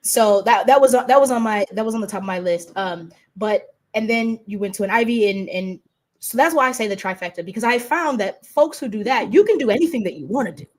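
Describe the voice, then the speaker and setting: A woman's voice: fairly high pitch, animated, brisk. A woman hosting a casual interview, chatty and informal, circling back over her phrases as she talks. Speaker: interview host.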